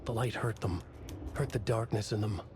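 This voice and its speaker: A man playing a brooding writer who reads his dark horror manuscript aloud in a grave, deliberate way. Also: lowish voice, over music, close to an everyday voice. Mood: grave, ominous. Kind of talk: scripted literary horror narration.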